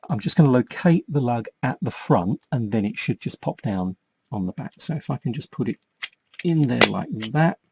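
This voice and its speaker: A man with a slightly husky voice giving a casual step-by-step repair demonstration, explaining patiently and a little hesitantly as he works with his hands. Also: mid-range voice, lively intonation, moderate pace, a little hiss or hum. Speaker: host of a toy repair tutorial.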